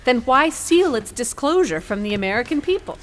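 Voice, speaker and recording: Woman playing an animated, earnest courtroom advocate. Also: on the high side, fairly quick. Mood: challenging. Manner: animated, formal. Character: earnest, challenging female defense advocate. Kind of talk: old-time radio courtroom drama. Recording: clear background noise.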